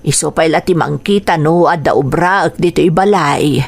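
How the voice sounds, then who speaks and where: A woman's voice: deep voice, moderate pace, gravelly. Kindly older Ilocano mother. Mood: warm, obliging. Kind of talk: radio drama acting.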